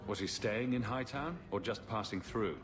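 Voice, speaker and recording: A man voicing a confident male hero who speaks calmly and teases dryly. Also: lowish voice, moderate pace, lightly acted. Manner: calm, dryly teasing. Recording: game cutscene with music behind.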